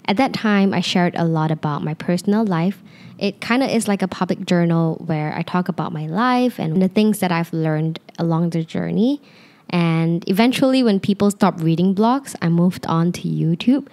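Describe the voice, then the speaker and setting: A young woman with a Malaysian English accent that leans a little North American, speaking in a slightly low, relaxed voice. She talks openly and confidingly, and she rambles a little at times. She is recorded close on a handheld microphone while sitting on a sofa at home. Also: normal speed. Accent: Malaysian English with North American influence. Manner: open, confiding, sometimes rambling. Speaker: podcast host. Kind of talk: casual solo podcast monologue. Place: living room, seated on a sofa.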